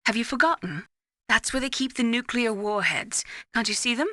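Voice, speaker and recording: A cool, clinical scientist in a video game. Her voice is fairly high and crisp-edged, measured and precise, composed with something held back, in very dry sound. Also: sharp tone, dry recording. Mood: clinical, informative.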